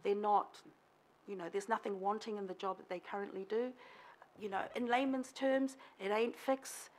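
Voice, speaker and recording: A woman's voice: mid-range voice, steady tone, normal speed, a little mellow. A woman whose first language is Samoan speaks earnestly to a council, emphatic and repeating her key points. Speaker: local board member. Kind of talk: formal presentation to a council. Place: council chamber.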